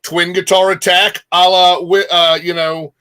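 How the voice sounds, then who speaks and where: A man chatting casually as co-host of a music show. His voice is fairly high for a man, with a bright, forward edge. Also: little pitch movement, measured pace, clear, resonant tone, dry recording. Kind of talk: casual chat.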